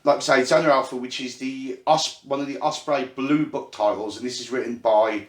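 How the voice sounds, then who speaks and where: An Irish-born man with a Birmingham accent talks through a tabletop game setup, pausing often with ums. His voice sits in a middling register. The recording is an echoey room captured on a phone. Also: medium pitch, steady tone. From Ireland. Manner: chatty, halting with fillers, self-deprecating. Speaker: host and game runner. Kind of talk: casual rules explanation. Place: indoor room at a gaming table.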